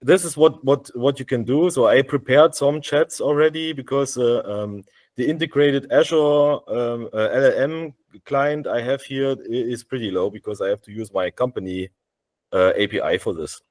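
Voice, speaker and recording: A man with a German accent walks through a software demo over a video call. He talks in a hesitant way, full of fillers and restarts, in a dry, close sound with a middle pitch. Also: moderate pace, no room sound. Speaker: software developer presenting. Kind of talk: live screen-share demo on a video call.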